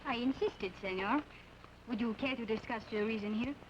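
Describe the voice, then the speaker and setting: A woman's voice: fairly high pitch, normal speed, slight background noise, close to an everyday voice. An actress playing a proud young lady who answers with cool, cutting sarcasm, in old radio drama style. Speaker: outlaw's unwilling fiancée. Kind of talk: 1940s radio acting. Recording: dull old radio broadcast.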